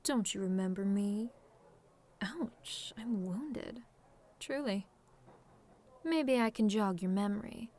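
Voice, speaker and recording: A woman acts out a tomboyish female demon with a medium-pitched voice. She is sardonic and relaxed, needling the listener with casual taunts, as in an audio roleplay. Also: takes it slowly, long pauses, lightly acted. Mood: sardonic, irritated. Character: sardonic tomboy female demon, relaxed, teasing, secretly fond of simple pleasures. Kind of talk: scripted roleplay monologue to a listener.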